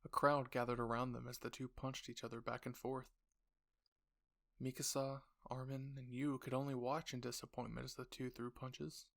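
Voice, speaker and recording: Gravelly-edged man narrating a story. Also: mid-range voice, level tone, moderate pace. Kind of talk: scripted second-person story narration.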